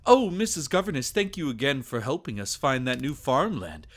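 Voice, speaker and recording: A man's voice: medium pitch, very animated, normal speed, fairly bright tone. A man voicing grateful, eager villagers and children, gushing and exclamatory, in an ASMR roleplay audio drama. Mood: gushing, excited.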